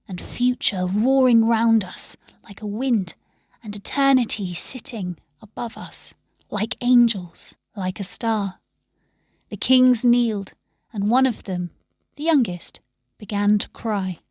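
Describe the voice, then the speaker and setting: A woman narrates a story at a slow, deliberate pace. Her delivery is expressive and measured, often softening into a hush at the ends of phrases. The recording is close and dry. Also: a little high, dry recording. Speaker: story reader.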